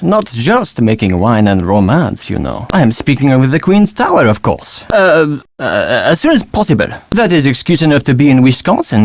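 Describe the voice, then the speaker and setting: A man's voice: a little high, dry recording, studio quality, full character voice. A man playing a suave, touchy, flirtatious Frenchman in a video game, with a French accent and theatrical, condescending delivery. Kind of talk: video game character acting.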